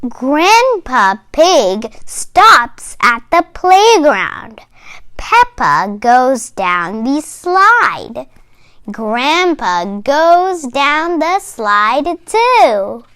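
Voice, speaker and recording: A child reading a children's storybook aloud in an expressive, sing-song way. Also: sits high, extremely slow.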